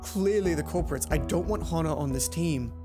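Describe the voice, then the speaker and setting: A woman's voice: low-pitched, moderate pace, lightly acted. A woman voicing a stubborn, accusing young male student in a game, stern and blunt. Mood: cold, accusing. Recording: music behind the voice.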